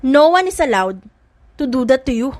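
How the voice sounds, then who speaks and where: A woman's voice: sits high, big pitch swings, moderate pace, darkish tone, dry recording. A woman voicing a hot-headed, protective young man in an audiobook drama, brash and threatening. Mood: angry, threatening. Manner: threatening, brash, mixes in English.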